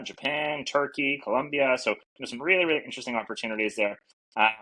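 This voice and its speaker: Husky-voiced Canadian man, earnest guest. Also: medium pitch, a bit bright, poor audio. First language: French. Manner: earnest, reflective, lots of fillers. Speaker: podcast guest. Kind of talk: casual interview talk. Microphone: podcast mic.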